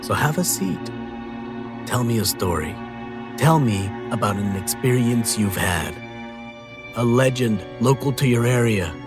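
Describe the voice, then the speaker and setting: A man delivering a scripted horror podcast promo as its host, deliberate, inviting and dramatic. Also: mid-range voice, expressive. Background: music.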